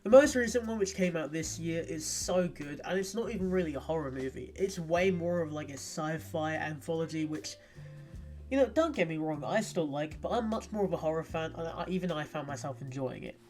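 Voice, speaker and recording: A man's voice: a little high, lively intonation, fairly quick, darkish tone. A man giving casual commentary as a guest co-host, chatty, candid and emphatic. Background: music.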